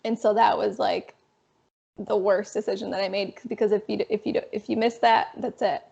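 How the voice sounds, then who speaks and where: A young woman in her twenties with a raspy voice, a guest telling her story in a casual, animated interview. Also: medium pitch, a little fast. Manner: animated, frequent fillers. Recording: dull lo-fi sound.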